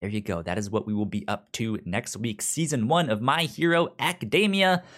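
A man hosts a casual podcast at a middling pitch. His speech stutters, with sounds and syllables often repeated. The delivery is conversational and informal. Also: moderate pace, a bit bright. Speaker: podcast host.

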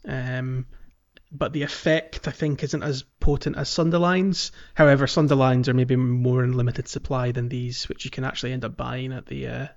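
A man's voice: medium pitch, steady tone, moderate pace, creaky. Podcast guy rambling about side quests, lots of ums, kinda muffled lo-fi sound. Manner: chatty, many ums and restarts, laughs easily. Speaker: podcast co-host. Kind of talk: casual game-talk podcast. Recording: dull lo-fi podcast audio.

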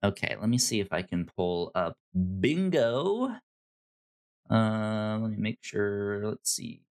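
A man co-hosting a podcast in casual chat, halting and repeating words as he thinks. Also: on the low side, very animated, darkish tone. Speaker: podcast co-host. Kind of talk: casual chat reviewing a TV show.

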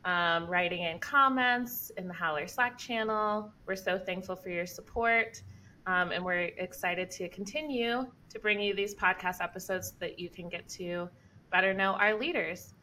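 A woman's voice: mid-range voice, moderate pace, sharp tone, a little hiss or hum. A woman co-hosting a podcast, enthusiastic and gushing. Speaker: podcast co-host. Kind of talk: casual podcast chat.

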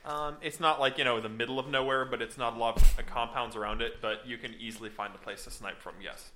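A man's voice: mid-range voice, steady tone, fast, bright, forceful tone, soft background music. A man acting as game master, laughing easily while he sets out the scene for his players. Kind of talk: running a tabletop game.

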